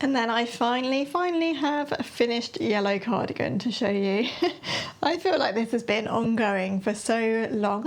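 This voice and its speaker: A woman with a slightly croaky voice, chatting casually as a sewing and knitting vlogger and laughing between thoughts. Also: medium pitch. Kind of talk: chatty catch-up.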